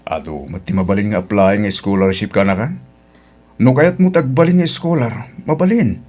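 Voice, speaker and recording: A man's voice: on the low side, normal speed, a little mellow, close to an everyday voice. A man acting a helpful middle-aged uncle and restaurant boss in an audio drama, with an Ilocano Filipino accent and a matter-of-fact, advising manner.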